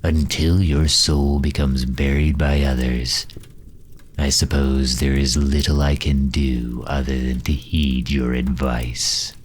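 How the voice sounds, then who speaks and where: A man playing a grave, haughty dark knight who absorbs souls, speaking formally and deliberately with a deep, breathy, husky voice in an audio roleplay. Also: little pitch movement, heavily acted. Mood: weary, resigned. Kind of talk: slow dramatic audio roleplay monologue.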